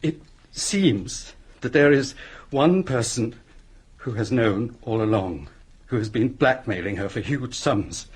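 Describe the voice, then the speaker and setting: An actor in a radio play playing a wealthy, anguished gentleman with emotional, emphatic delivery. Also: medium pitch, lively intonation, takes it slowly, slightly rough. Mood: anguished, confiding. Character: anguished, wealthy English gentleman haunted by loss.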